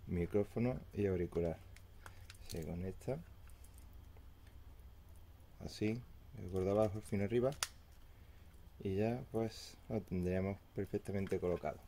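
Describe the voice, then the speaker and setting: Low-voiced man with noisy background. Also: a little slow, long pauses, dark tone. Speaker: product reviewer. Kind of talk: casual unboxing product review. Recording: clear background noise with handling crinkles.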